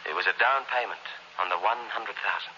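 A man's voice: lowish voice, brisk, highs cut off, heavy background noise, close to an everyday voice. A man playing a cynical, scheming impostor in 1960s radio suspense style, with terse, wry delivery on an old radio recording. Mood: tense, cynical. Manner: terse, wry, hard-boiled. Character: hard-boiled male impostor and crook, scheming, cynical. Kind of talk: 1960s radio suspense acting, first-person narration.

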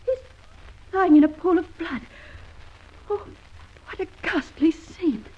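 A woman's voice: extremely high pitch, animated, takes it slowly, dark tone, a little hiss or hum, heavily acted. An actress playing a spirited, well-spoken young woman, an adventurer's arch companion, in an old radio drama. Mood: horrified. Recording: muffled old radio recording.

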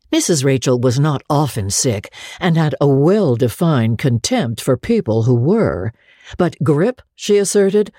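A woman narrating classic literature as a dramatic audiobook reading, with expressive, measured storytelling. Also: deep voice, no room sound, studio quality.

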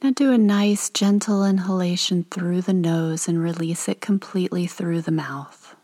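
A woman leading a guided meditation in a calm, gentle, soothing way, with soft and at times breathy delivery and pauses between instructions. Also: on the low side.

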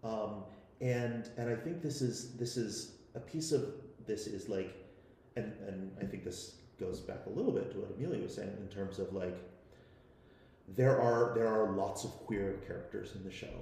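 An American man from the Midwest speaking on a panel, haltingly, repeating words as he thinks aloud. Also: on the low side, warm tone. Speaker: panelist, member of an audio drama team. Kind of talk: live panel discussion. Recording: very echoey room, faint background noise.

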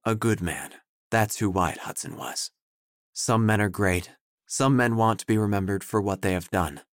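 A man playing a wry, melancholy small-town obituary writer, narrating an audio drama in measured, formal phrasing. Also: fairly low pitch, dry recording, studio quality, lightly acted.

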